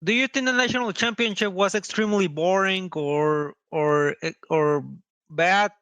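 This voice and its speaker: A man's voice: high-pitched, unhurried, a bit bright, smooth, no echo, lo-fi sound. A man with a Costa Rican accent, calling in as a listener and casually asking questions. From Costa Rica. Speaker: audience caller.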